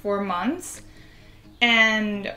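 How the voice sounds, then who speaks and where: A woman's voice: medium pitch, drawn-out words, bright, forceful tone, roomy sound, faint music behind. A young woman in her twenties from Venezuela with a Venezuelan Spanish accent, chatting casually to camera in a rambling way with lots of "like". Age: 20s. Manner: chatty, rambling, heavy use of "like". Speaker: vlogger.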